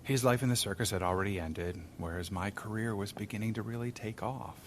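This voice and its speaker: An actor playing a restless former adventurer who talks in a reflective, wistful way in an audio drama. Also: male voice, on the low side, a little fast, a little hiss or hum, lightly acted.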